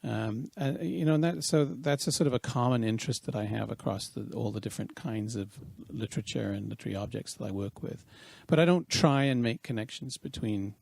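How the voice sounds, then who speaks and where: A man in his fifties, from Wales, talks as a guest, halting and thoughtful, often stopping to restart. His voice has a gravelly edge at a mid pitch. The recording is dry and close. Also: a little mellow, no room sound. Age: 50s. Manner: thoughtful, hesitant, many ums and restarts. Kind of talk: casual interview.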